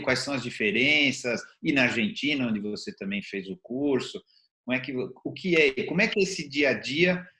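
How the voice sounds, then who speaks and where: A man's voice: mid-range voice, sharp tone. A Brazilian man acting as interviewer, putting questions in a conversational way. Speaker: interviewer, host. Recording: roomy, echoing sound.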